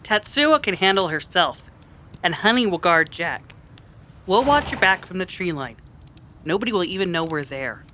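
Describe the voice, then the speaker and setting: A man voicing a fiery, stubborn frontier woman with a defiant, sharp manner in a Western radio drama. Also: high voice, sing-song, normal speed, a little hiss or hum, heavily acted. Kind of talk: old-time radio Western acting.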